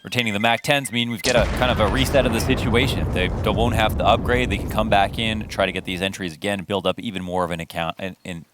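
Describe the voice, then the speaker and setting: A man's voice: lowish voice, fairly even intonation, fast talker, few pauses, noisy background. A man giving conversational colour commentary and analysis on a live esports match.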